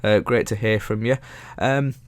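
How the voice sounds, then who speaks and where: A man's voice: fairly low pitch, fairly even intonation, normal speed, no room sound. A man in his twenties, sniffly from hay fever, chatting casually and rambling as he answers viewer questions. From England. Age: 20s. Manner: rambling, self-correcting, chatty. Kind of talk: casual Q&A chat.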